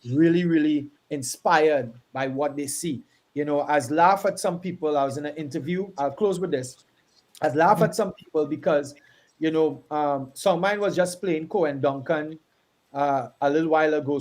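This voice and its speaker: A man's voice: a little high, darkish tone. A man with a Trinidadian accent, a gospel artist talking on the radio with earnest, encouraging words. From Trinidad and Tobago. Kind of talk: casual radio tribute talk.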